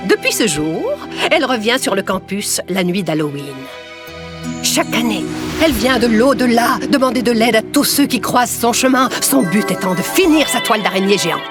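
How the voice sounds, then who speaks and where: A woman's voice: fairly high pitch, expressive, normal speed, close to an everyday voice. A woman playing a haughty, busy teacher in a French cartoon, pompous and dramatic in her delivery. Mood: spooky storytelling. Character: theatrical, haughty, busy adult woman teacher telling spooky tales. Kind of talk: French animated series acting. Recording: music behind the voice.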